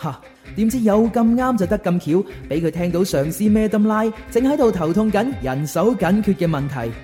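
A woman's voice: medium pitch, expressive, normal speed, warm tone, soft background music. A woman, narrating a scripted radio drama as a storyteller.

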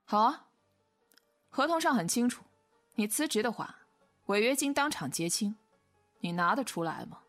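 A woman playing a cold, vengeful company boss in an audio drama, speaking in a contemptuous, commanding way with a breathy voice. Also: fairly high pitch, big pitch swings, moderate pace, long pauses, fairly bright tone. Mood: coldly taunting. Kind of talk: modern audio drama acting. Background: faint music.

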